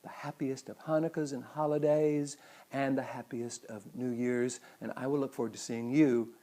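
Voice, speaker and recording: A man's voice: medium pitch, steady tone, normal speed, mellow. A middle-aged man giving a motivational talk as a host, with earnest, emphatic phrasing. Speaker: psychologist and speaker hosting a weekly show.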